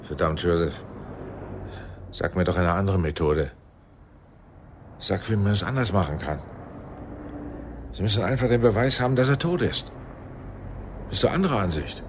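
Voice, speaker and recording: An actor playing a shrewd, paternal intelligence chief in a German radio play, measured and persuasive, with a husky voice. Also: male voice, low voice, animated, a little hiss or hum. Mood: tense, bitter.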